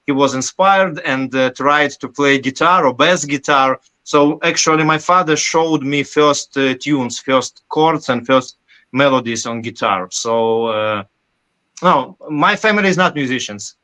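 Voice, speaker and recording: Bright-voiced Ukrainian man, halting English. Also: medium pitch. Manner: halting non-native English with many pauses. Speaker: guest musician. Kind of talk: interview answers.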